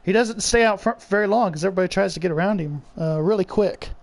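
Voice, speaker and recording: A man's voice: high-pitched, fast talker, vocal fry. A young man giving loose, chatty live commentary on a sim race and reading viewer comments aloud.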